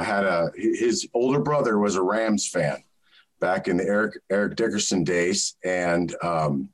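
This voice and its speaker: A man in his forties talks as an interview guest. His voice sits on the low side, and he tells his memories haltingly, often stopping to restart his sentences. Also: rough recording. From South Central Alaska. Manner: halting, reminiscing, with many restarts. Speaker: guest, sports fan. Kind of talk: conversational interview.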